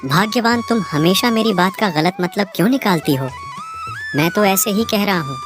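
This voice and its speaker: A woman voicing a simple, honest village boatman, a hurried husband who is coaxing and mildly impatient, in a cartoon story. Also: mid-range voice, animated, music in the background, natural voice. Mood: placating.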